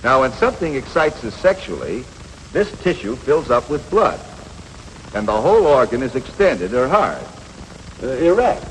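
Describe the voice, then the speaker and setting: A man acting as a patient American instructor in an old 1950s classroom film. His delivery is lively and varied, plain-spoken and reassuring. The soundtrack is reverberant, with some hiss and noise. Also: mid-range voice, moderate pace, close to an everyday voice. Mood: frank, reassuring. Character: patient, matter-of-fact male coach teaching adolescent boys. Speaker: instructor of teenage boys.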